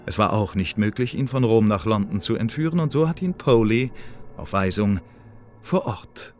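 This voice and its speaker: An actor playing a fussy secret service secretary, delivering a formal report in a measured, circumlocutory way in a radio play. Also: male voice, on the low side, faint music behind, close to an everyday voice. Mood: insinuating, dry. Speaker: intelligence official giving an assessment. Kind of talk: radio drama monologue, formal bureaucratic report. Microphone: close dry studio microphone.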